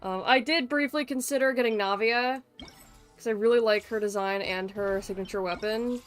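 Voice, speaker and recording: A woman's voice: a little high, normal speed. A woman livestreaming a game, chatting in a casual, rambling way with playful teasing and some swearing. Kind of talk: casual gaming stream chat. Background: music in the background.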